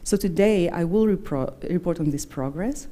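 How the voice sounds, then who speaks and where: Woman from Greece, Greek native, middle-aged, lowish mellow voice, measured presentation, some fillers. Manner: measured, with frequent fillers and self-corrections. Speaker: executive director presenting annual report. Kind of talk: presentation to members.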